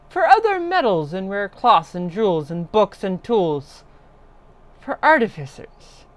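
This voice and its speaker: A woman reading a literary horror story aloud in a solemn, deliberate, dramatic storytelling style. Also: mid-range voice, clear, resonant tone, no echo.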